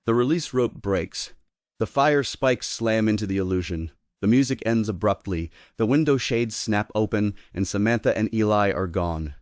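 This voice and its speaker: A man serves as narrator, reading a play's stage directions from a script. His voice is deep, and the recording is dry with very little room sound. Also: moderate pace, dry recording.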